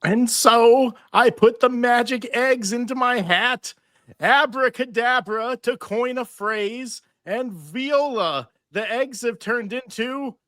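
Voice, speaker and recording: A woman as Professor Hinkle, bright-voiced pompous magician. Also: fairly high pitch, slow pace, no echo. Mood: showy. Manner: pompous, scolding, theatrical. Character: Professor Hinkle, pompous, petulant, greedy bad stage magician. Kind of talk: live table read of a holiday cartoon.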